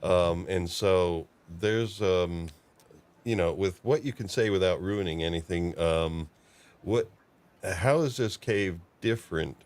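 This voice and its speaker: A man hosting a casual interview, feeling out his questions in halting, tentative phrases. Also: deep voice, darkish tone. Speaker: podcast host interviewing a writer.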